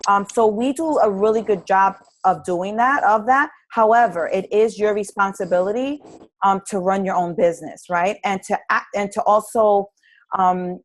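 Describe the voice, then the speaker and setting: A woman's voice: mid-range voice, moderate pace. Earnest woman coaching new team members. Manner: earnest and encouraging, with frequent "um"s and restarts. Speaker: fitness business coach leading a team training call. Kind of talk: live conference-call training presentation. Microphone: conference call.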